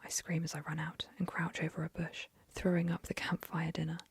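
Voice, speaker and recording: A young woman whispering an ASMR story reading into a close mic, softly, with gentle fillers. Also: deep voice, monotone, a little fast, husky, no echo. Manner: soft whisper, chatty with fillers after the story.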